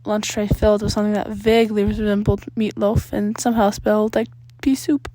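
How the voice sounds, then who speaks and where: A woman's voice: medium pitch, level tone, a little fast, mellow. A woman reading a story aloud as a scripted narrator. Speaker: podfic reader.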